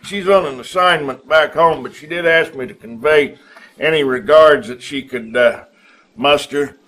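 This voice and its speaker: An actor playing a folksy, gruff, confident American army general in a game cutscene, talking informally. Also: male voice, fairly high pitch, fairly bright tone, natural voice. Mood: hearty. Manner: folksy, informal, drawn-out hesitations. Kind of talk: dramatic game cutscene acting.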